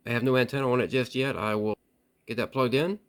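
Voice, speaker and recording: A man hosting a casual show-and-tell about radio gear, relaxed and plain-spoken, with frequent pauses and repeated words. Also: medium pitch, clear, resonant tone. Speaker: radio hobbyist host.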